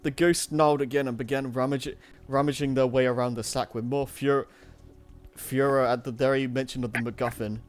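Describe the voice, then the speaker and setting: A man of average pitch reads a story aloud to an online audience. He narrates in a casual storybook way and trips over words, correcting himself as he goes. Light music plays faintly behind him. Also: little pitch movement, fairly quick. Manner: stumbles and self-corrects while reading. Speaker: narrator of a streamed fan-fiction reading.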